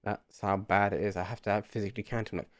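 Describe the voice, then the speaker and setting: A young man in his twenties speaks earnestly to the camera, wandering and repeating himself as he thinks aloud. His voice sits a little low, and the sound is dry and close with no room echo. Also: level tone, fast. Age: 20s. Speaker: vlogger. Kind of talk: casual reflective monologue to camera.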